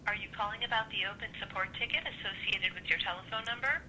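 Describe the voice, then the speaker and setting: A woman's voice: fast talker, pushed and bright, slight background noise, low-quality recording. A synthetic woman's voice reading formal scripted announcements for an automated phone support system. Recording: phone system played into a rumbling room.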